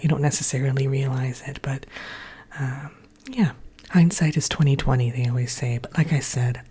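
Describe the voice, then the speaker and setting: Whispering congested woman, low and husky. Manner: soft unvoiced whisper with frequent pauses and fillers. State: congested and croaky from allergies. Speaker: ASMR channel host. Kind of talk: whispered ASMR update chat.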